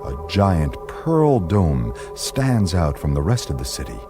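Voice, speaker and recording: Very deep, slow male narrator. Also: big pitch swings. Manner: measured, suspenseful storytelling. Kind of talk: dramatic scripted radio play narration. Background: loud music.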